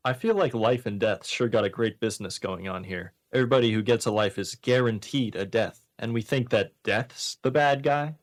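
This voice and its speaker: A man's voice: mid-range voice, moderate pace, hardly any pauses. A man hosting a comedy radio show, reading out one-liner jokes and bantering casually.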